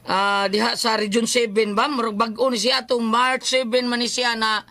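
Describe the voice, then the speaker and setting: A person with a Cebuano accent speaking as an animated co-host in a casual, joking advice chat, repeating phrases for emphasis. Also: medium pitch, normal speed.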